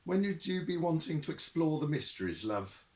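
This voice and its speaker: A man's voice: on the high side, sing-song, moderate pace, darkish tone, roomy sound, pitched above the performer's own voice. A man voicing a chatty middle-aged woman fortune teller with a breezy, homely manner. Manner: breezy, homely, calls people love.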